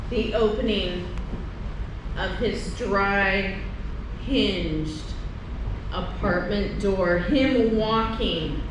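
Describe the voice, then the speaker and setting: Very slow woman reading poetry, echoey room. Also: mid-range voice. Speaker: poetry reader. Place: reverberant room at a live reading. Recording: echoey room, distant mic, loud background noise.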